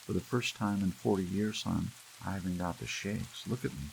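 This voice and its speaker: A folksy, contented woman full of dreamy wonder, played by a male audiobook reader. The lines are pitched up from a deep voice, with rain and hiss underneath. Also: moderate pace, gravelly, low-quality recording. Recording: steady rain and hiss behind.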